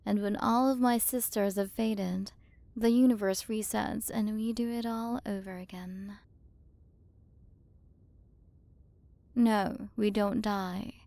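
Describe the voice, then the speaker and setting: A woman playing a haughty, curious alien galaxy queen in an ASMR roleplay, soft and condescending, possessive of her human. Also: mid-range voice, steady tone, measured pace, creaky, dry recording. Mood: curious, clinical. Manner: soft, condescending, teasing, at times whispered.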